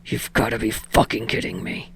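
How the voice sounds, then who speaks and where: A woman doing a gravelly, snarling hothead guy. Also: a bass voice, steady tone, moderate pace, a little hiss or hum, pitched below the performer's own voice. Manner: curt, swears, growls. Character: hot-tempered young male celebrity, gruff. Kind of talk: podfic character voice.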